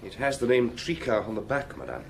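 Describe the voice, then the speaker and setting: A man's voice: medium pitch, very animated, brisk, gravelly, slight background noise, poor audio. An actor playing a deferential junior male police inspector in a detective audio drama, polite and tentative. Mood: polite, firm.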